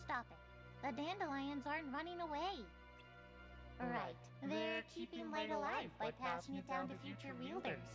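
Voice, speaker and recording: A woman voicing a small, loyal and protective spirit companion in an animated game fandub, with music underneath. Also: high voice, moderate pace. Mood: indignant, protective.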